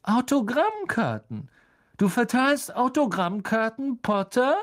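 A reader voicing an arrogant, spiteful schoolboy bully in a storybook, loud, cutting and taunting. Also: mid-range voice, very animated, moderate pace, voice pushed up, close to an everyday voice.

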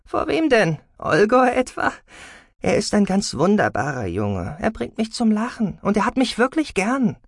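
A man's voice: high voice, animated, moderate pace, few pauses, slightly rough, no room sound, studio quality, voice pushed up, full character voice. A man voicing a hot-tempered, defiant adolescent girl in an audiobook, indignant and emphatic. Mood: defensive. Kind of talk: dramatic audiobook character acting.

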